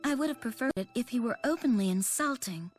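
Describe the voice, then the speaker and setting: A woman's voice: fairly high pitch, animated, fairly quick, faint music behind, lightly acted. A woman voicing an earnest, thoughtful young woman advisor in a video game cutscene. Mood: disapproving.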